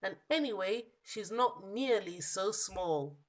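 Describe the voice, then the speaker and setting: A woman voicing a small, lost young monkey from a children's storybook, plaintive and exasperated, with emphatic, drawn-out protests. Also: medium pitch, lively intonation. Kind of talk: children's storybook character reading.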